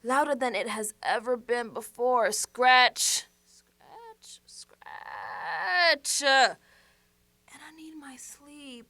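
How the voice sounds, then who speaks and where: A woman's voice: sits high, very slow, no echo, full character voice. An actress playing an exasperated, sassy young American woman in a colloquial radio drama monologue, slangy and confessional. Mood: fed up. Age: young adult. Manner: slangy, sassy, animated, sighing asides.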